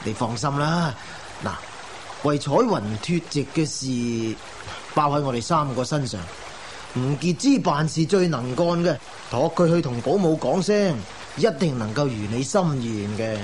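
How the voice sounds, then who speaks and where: A genial, teasing gentleman of old China in a radio play. He coaxes formally in a fairly high voice. There is faint watery noise behind. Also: expressive, measured pace. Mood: coaxing. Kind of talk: Cantonese radio drama acting.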